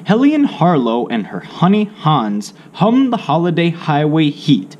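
A man narrating a children's alphabet picture book aloud, in deliberate, separated phrases with drawn-out words. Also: fairly high pitch, huge pitch swings, a little mellow, lo-fi sound. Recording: faint background noise.

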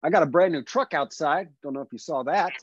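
A defensive, eager-to-impress son in a table read. His voice sits a little high, tense and self-justifying. The sound is dry and slightly dull, as on a video call. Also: quick pace, slightly rough, no room sound. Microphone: computer mic on video call.

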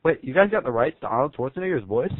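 A man playing a naive, excitable patient who asks puzzled questions melodramatically in a comedy skit, tinny recording. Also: medium pitch, very fast, no echo, lightly acted. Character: naive, excitable male patient, easily amazed and confused. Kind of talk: amateur comedy skit acting. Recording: tinny, band-limited, dry sound.

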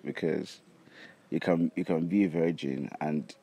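A man's voice: rumbling low, fairly even intonation, a little slow, vocal fry, mellow, slightly rough, dull audio. A young man with a Nigerian accent giving casual answers in an outdoor interview. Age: young adult. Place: outdoors by campus buildings. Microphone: handheld device held by interviewer. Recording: faint outdoor background noise.

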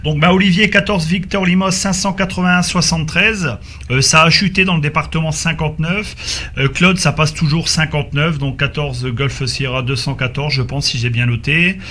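A man with a fairly high, very bright voice talks as a radio operator. He chats in call signs and spells things out letter by letter. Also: fairly even intonation, moderate pace.

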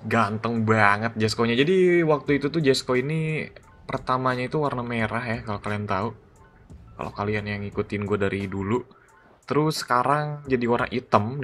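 A man does gaming commentary. His delivery is excited and slangy, full of exclamations, in a medium-pitched voice. Music plays behind him. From Indonesia. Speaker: gaming YouTuber. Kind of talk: casual gameplay commentary. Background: background music.

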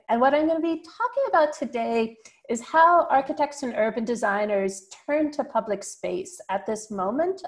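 A middle-aged American woman giving an online architecture lecture over a video call, in a clear, steady academic way with some fillers. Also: on the high side. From United States. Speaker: guest lecturer.

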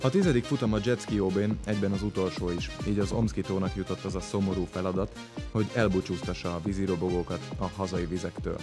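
Casting: deep male narrator, calm scripted sports voice-over, music bed underneath.

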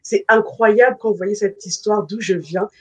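A middle-aged woman from the Congo introduces herself as a life coach over a video call. Her voice is slightly husky. She speaks fluently and earnestly, with a devout tone, through the webcam mic. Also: mid-range voice, normal speed. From Republic of the Congo. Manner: earnest, faith-filled, fluent testimony. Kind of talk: guest self-introduction. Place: home room, video call.

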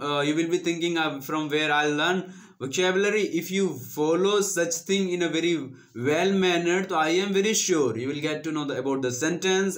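A man's voice: fairly high pitch, a bit bright. A young man in his twenties with an Indian accent and Hindi as his first language, earnestly giving English-learning tips straight to camera on a lapel mic. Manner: earnest, coaching, addresses viewers as friends. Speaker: English-learning channel host. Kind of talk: direct-to-camera advice talk. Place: small indoor room with green screen.

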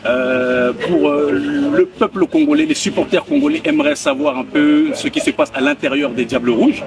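A man's voice: a little high, big pitch swings, moderate pace, vocal fry, distant mic, a crowd in the background. A young man asking polite, formal interview questions as the interviewer, speaking outdoors. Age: young adult. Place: outdoors by a hotel pool.

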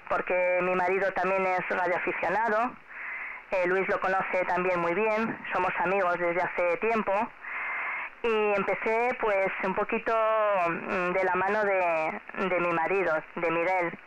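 Woman, Spain Spanish accent, friendly radio operator, muffled shortwave signal, clear static noise. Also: medium pitch, steady tone, a little slow. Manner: friendly, encouraging, repeats herself. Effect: two-way shortwave radio transmission. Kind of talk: casual radio contact chat addressed to a child. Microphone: heard through a radio transceiver speaker.

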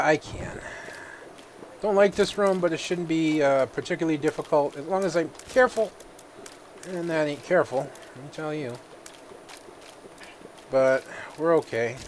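A man who is feeling a little sick, giving relaxed let's-play commentary and thinking aloud with plenty of fillers. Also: medium pitch, slight background noise. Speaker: gaming video host.

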